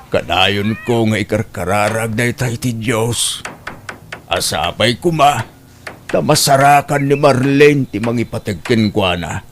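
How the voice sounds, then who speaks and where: Slow, kindly old grandfather played by a man. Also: mid-range voice, slight background noise, natural voice. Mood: tender, heartfelt. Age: older. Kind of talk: Ilocano radio drama acting.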